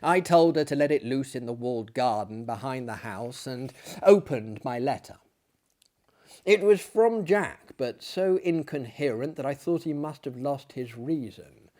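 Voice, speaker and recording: A man's voice: mid-range voice, expressive, a little slow. Theatrical man narrating a story. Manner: theatrical, hushed phrase endings. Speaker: narrator and performer. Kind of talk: dramatic audiobook narration.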